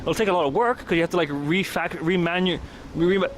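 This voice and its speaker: Chatty streamer walking outdoors with noise behind. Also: male voice, sits high, a little fast. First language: not Swedish. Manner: chatty, slangy, talks to chat. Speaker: livestreamer. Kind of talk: casual live-stream chat while walking. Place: outdoors by the water, wind and rain.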